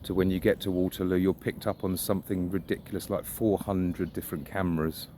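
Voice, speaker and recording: Low-voiced man rambling outdoors. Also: little pitch movement, a little fast, warm tone. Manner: musing, opinionated, mildly sweary. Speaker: vlogger. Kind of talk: casual rambling walk-and-talk monologue. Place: outdoors, walking suburban riverside streets. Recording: outdoor background noise. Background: outdoor ambience.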